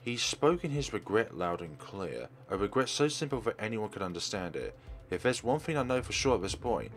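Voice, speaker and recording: A man's voice: lowish voice, sing-song, fairly quick, soft background music. A man reading visual novel narration aloud as a let's player.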